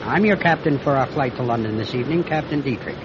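An actor playing a troubled but resolute airline captain in a classic radio drama, American, with a clipped, plain-spoken delivery. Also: male voice, medium pitch, fast, over loud music, close to an everyday voice. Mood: calm, official. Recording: dull lo-fi old radio recording.

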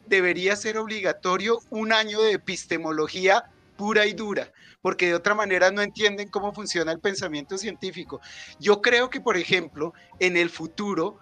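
A Colombian man giving his opinions at a book presentation, explaining emphatically with hesitant pauses. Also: high voice, sharp tone. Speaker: writer and psychologist, guest speaker.

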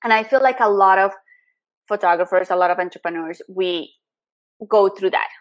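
A woman's voice: medium pitch, normal speed. A woman hosting a casual podcast interview, friendly and encouraging, laughing often. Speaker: podcast host.

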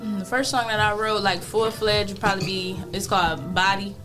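Woman guest, soft and raspy, easygoing rambler; music bed underneath. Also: mid-range voice, brisk. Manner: relaxed, rambling storytelling with many fillers. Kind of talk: casual interview chat.

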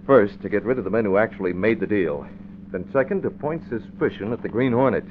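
A narrow, noisy old radio recording of a man playing a daring American crime fighter. His voice is deep, and he talks with brisk authority and urgency. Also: expressive, lightly acted. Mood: purposeful. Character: daring young publisher, masked crime fighter, decisive and commanding. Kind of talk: 1940s radio acting.

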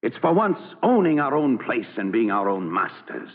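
Vintage radio sound, muffled and reverberant. a middle-aged frontier showman and father, hopeful and persuasive, with homely turns of speech and very lively intonation. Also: mid-range voice, normal speed. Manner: folksy, persuasive. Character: hopeful, folksy father running a travelling theater troupe. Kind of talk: 1940s radio acting. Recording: muffled old radio broadcast, reverberant hall.

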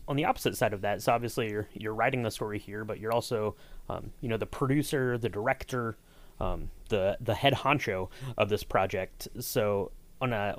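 A man hosting a conversational podcast interview, asking wordy, roundabout questions. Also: lowish voice. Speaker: podcast host interviewing a writer.

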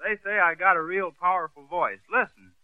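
A man's voice: high-pitched, a little fast, no echo. A man doing a shy, aw-shucks country-boy bit as a guest singer on a vintage radio comedy. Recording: tinny old radio broadcast.

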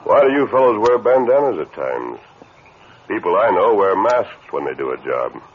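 A tinny, noisy vintage radio western features a masked lawman hero. His voice is deep, calm and commanding, with a firm, assured delivery. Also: moderate pace. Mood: confident, persuasive. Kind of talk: 1940s-50s radio western acting.

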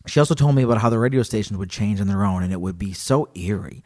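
A man co-hosting a podcast, chatting casually and reading a story aloud, animated and joking. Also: fairly low pitch, quick pace. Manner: animated, joking, laughs often. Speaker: podcast co-host.

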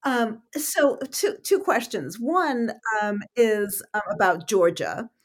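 A woman interviewing an author, asking long questions with many fillers, pauses and false starts. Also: on the high side, big pitch swings.

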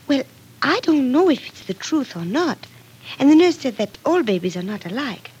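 An actress playing a gentle, patient young wife and new mother in an old radio soap, speaking softly with careful, precise diction. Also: sits high, sing-song, normal speed, husky, highs cut off, full character voice. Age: young adult. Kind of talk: 1940s radio soap acting. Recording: noisy vintage radio recording.

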